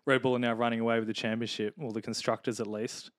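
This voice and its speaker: A man's voice: medium pitch, level tone, fast talker, dry recording, studio quality. Joking man hosting a podcast. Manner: joking, leads the questions. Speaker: podcast host. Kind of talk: casual chat.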